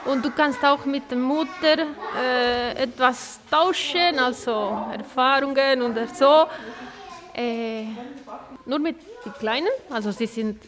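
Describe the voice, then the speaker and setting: Slow, hesitant woman. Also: high-pitched, in a large hall. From Argentina. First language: Spanish. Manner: hesitant, searching for words. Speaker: interviewee. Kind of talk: casual interview answers.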